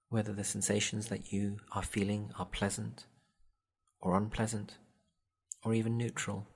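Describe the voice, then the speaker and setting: A man with a low-pitched, husky voice leads a guided body scan meditation. He speaks gently and soothingly, giving measured instructions and leaving long pauses between phrases.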